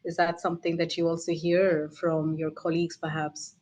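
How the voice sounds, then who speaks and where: A woman's voice: lowish voice, level tone, moderate pace, a little mellow. A woman moderating an online webinar over a video call, thanking speakers and posing broad, musing questions. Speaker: host.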